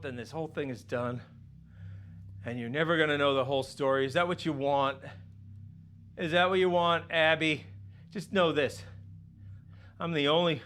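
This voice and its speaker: Casting: male actor as volatile, desperate dying uncle, middle-aged, forceful tone, pleading and swearing, game film drama. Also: on the high side, slight background noise, lightly acted. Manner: agitated, pleading, stammering, swearing. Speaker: actor in interactive mystery film. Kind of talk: naturalistic film drama acting.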